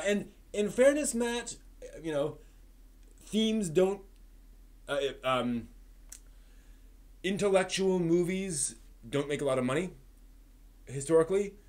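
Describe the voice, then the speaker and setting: Halting, opinionated male live streamer. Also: a little high. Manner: halting, discursive, thinking aloud, repeating words. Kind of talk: unscripted opinionated chat about books and films.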